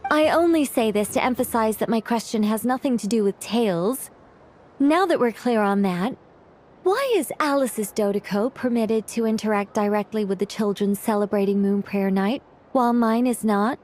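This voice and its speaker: Woman as high-voiced, dramatic ancient mage. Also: moderate pace, darkish tone, lightly acted. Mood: exasperated. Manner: dramatic, chatty, self-conscious. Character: proud, needy, whimsical ancient female mage, theatrical. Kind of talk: anime game voice acting. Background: music.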